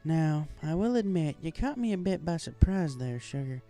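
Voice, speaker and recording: A man voicing an easygoing, folksy female farm pony with a reassuring, unhurried manner in a story reading. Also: a little high, very animated, warm tone, soft background music, pitched above the performer's own voice. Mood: tender. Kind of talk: fan fiction story reading.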